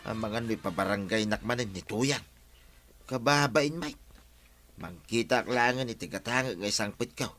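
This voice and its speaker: Bright-voiced henpecked husband played by a man. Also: fairly low pitch, normal speed, faint music behind, lightly acted. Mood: grateful, plaintive. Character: henpecked husband, a hard-drinking village worker. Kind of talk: comedy drama acting.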